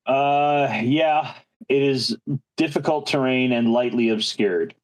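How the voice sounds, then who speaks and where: A man's voice: medium pitch, slow pace, low-quality recording. A man playing a tabletop game, chatting casually about rules and rolls.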